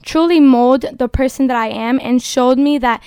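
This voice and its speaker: High, smooth-voiced young woman. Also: normal speed, dark tone, no room sound, clean studio sound. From Dominican Republic. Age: 20s. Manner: earnest and passionate, exhorting listeners. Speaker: guest. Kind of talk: casual faith-based podcast talk. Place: studio.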